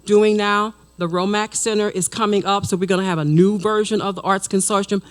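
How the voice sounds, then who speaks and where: A woman artist in the audience giving an excited, effusive comment. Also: medium pitch, brisk.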